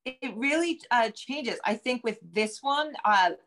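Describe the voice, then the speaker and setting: A woman's voice: a little high, lively intonation, unhurried, fairly bright tone. A middle-aged American woman talking casually as an interview guest on a video call, thinking aloud as she goes. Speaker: guest novelist. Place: indoor room at home, video call.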